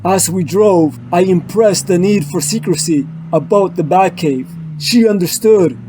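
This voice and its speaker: A man's voice: sits high, a little slow, background noise. A man voicing Batman as a grave, driven detective hero in a solemn, melodramatic comic-book dub. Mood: brooding. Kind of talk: dramatic comic-book dub with inner monologue. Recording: music bed under the voice.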